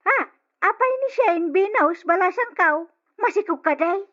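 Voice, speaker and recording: A woman's voice: very high-pitched, animated, unhurried, a bit bright, highs cut off, heavily acted. An actress playing a nosy, judgmental older woman, gossiping emphatically in an old-style radio drama. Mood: nosy disapproval.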